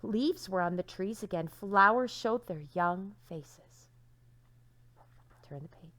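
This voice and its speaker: A woman teacher reading a storybook aloud to young children, dramatic and expressive, with hushed phrases and questions to listeners. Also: medium pitch, slow.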